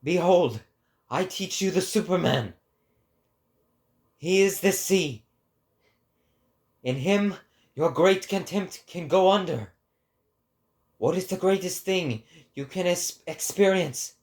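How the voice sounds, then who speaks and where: A man performs an impassioned prophet in a dramatic reading. His voice sits fairly high for a man and moves very slowly. He declaims with grandiose, deliberate weight, as if preaching to a crowd. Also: darkish tone. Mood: solemn fervor.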